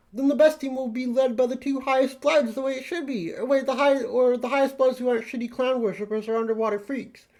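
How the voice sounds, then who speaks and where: Scheming young female troll played by a man, high voice, brash, mocking and bossy, comic reading. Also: fairly even intonation, brisk, a little mellow. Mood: scheming, smug.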